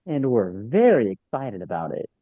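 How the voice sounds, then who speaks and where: A man acting a sarcastic, wisecracking young man with deadpan delivery in a sitcom table read. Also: mid-range voice, normal speed, dry recording. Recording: dull, lo-fi, dry microphone.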